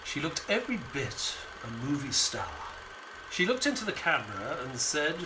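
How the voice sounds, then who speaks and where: An actor playing an earnest, inquisitive gentleman doctor who narrates a detective audio drama. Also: on the high side, big pitch swings, moderate pace, noisy background. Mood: narrating.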